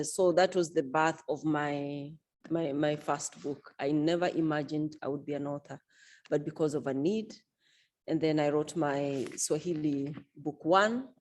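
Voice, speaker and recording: A woman speaks at a book launch with a low, mellow voice. She talks about her work in a measured, unhurried way and fills her pauses often with small hesitations. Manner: measured, unhurried, with frequent fillers. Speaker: author and event organizer.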